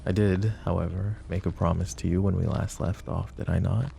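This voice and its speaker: A deep-voiced, possessive mafia boss, acted by a man for roleplay audio, with a heavy gravelly fry. He talks intimately to the listener, suave and teasing, with mock-polite manners. A light hiss sits behind the voice. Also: fairly even intonation, normal speed, warm tone. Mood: courteous, coaxing. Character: flirty, possessive mafia boss courting a detective. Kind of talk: roleplay ASMR acting, intimate address to listener.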